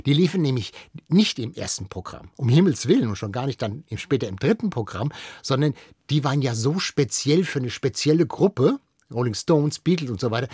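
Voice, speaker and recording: A man's voice: medium pitch, darkish tone, slightly rough, no echo, clean studio sound. An older man, a radio veteran, explains things conversationally as an interviewed expert, often correcting himself as he thinks aloud.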